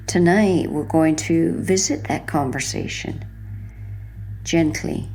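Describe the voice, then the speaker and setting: Low-voiced woman guiding slow sleep hypnosis. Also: vocal fry, a little hiss or hum. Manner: soothing, hushed, gentle, lingering phrases. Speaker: hypnotherapy narrator. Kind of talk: sleep hypnosis, guided relaxation.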